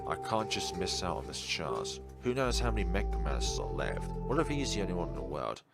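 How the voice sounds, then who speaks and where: A man's voice: low voice, sing-song, fairly quick, creaky, heavily acted. A man voicing an eager, curious young alchemist hero in a fantasy visual novel, talking conversationally. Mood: bargaining. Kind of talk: read-aloud visual novel dialogue. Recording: background music under voice.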